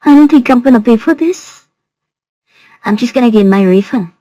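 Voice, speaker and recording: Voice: mid-range voice, animated, moderate pace, mellow, dry recording, dull audio. A reviewer's voice changed into a woman's by an AI voice changer, giving a casual, disapproving review. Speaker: software reviewer.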